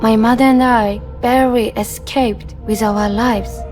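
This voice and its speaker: An actress playing a wistful, earnest woman longing for a lost love in a video game cutscene, confiding and halting. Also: on the high side, steady tone, darkish tone, soft background music, close to an everyday voice.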